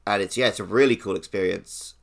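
Male podcast co-host, slightly low voice, informal and conversational, discussing video games casually. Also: a little fast, creaky.

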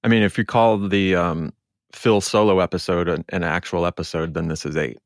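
A man with a deep voice talks casually as a podcast co-host. He sounds relaxed in format, as in an informal chat among regulars. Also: brisk, no echo, studio quality.

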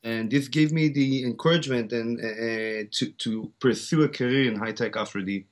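A man's voice: medium pitch, moderate pace. A man in his forties from Israel with an Israeli accent, a startup founder speaking as an interview guest, hesitant with many fillers and restarts. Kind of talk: interview conversation.

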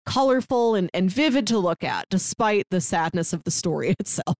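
A woman's voice: medium pitch, animated, moderate pace, a little mellow, no echo, studio quality. A woman co-hosting a podcast in casual, conversational style. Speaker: podcast co-host.

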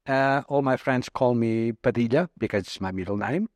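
A man in his early fifties from Portugal, a native Portuguese speaker, answering interview questions in halting English with many pauses and fillers. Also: mid-range voice, a little mellow, no echo, clean studio sound. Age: 50s. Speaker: guest.

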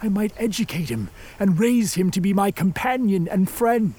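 An actor in a radio play voicing an embittered, vengeful created monster, with a husky voice and grandiose, formal delivery. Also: mid-range voice, dark tone, a little hiss or hum, natural voice. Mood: brooding. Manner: grandiose, theatrical, deliberate delivery. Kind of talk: radio play acting, formal archaic speech.

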